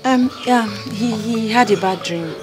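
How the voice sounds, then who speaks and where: A woman's voice: on the high side, measured pace, over music, lightly acted. An actress playing a caring wife and mother, who speaks to her family in a soothing, reassuring way.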